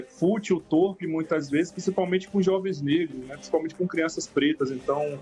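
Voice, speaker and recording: A man from Salvador speaking, calm and reflective as he answers an interviewer. His voice sits a touch high for a man. Faint music plays underneath. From Salvador, Brazil. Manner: reflective, frequent "né?" tags. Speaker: rapper guest.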